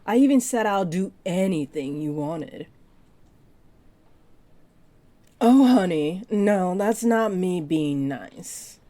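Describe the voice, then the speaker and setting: A woman playing a flirty, possessive part-dragon librarian in an intimate roleplay audio drama, speaking to the listener in a teasing, deliberate way. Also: lowish voice, animated, mellow. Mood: tender, flirty. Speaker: voice actor.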